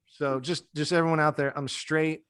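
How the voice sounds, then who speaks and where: A man's voice: a little high, level tone, moderate pace, a bit bright, clean tone, dry recording, clean studio sound. Laid-back joking man with soothing voice. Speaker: yoga teacher and podcast co-host. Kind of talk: casual podcast chat. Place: dim home room. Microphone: desk mic, video call.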